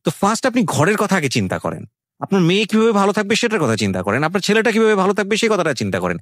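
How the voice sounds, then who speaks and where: Bangladeshi man, interview host, reflective commentary, English phrases mixed in, animated melody, dry studio-like sound. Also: high voice, rapid-fire, no echo. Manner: earnest, opinionated, mixes in English phrases. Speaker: show host.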